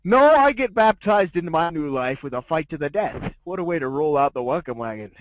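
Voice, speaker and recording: A man's voice: fairly high pitch, lively intonation, fairly quick, no room sound, lightly acted, poor audio. Man voicing a snobby, sardonic storytelling dog, dry sound. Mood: wry. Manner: haughty, jaded, wry. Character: snobby, sardonic male diamond dog telling his life story. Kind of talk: dramatic fan fiction narration.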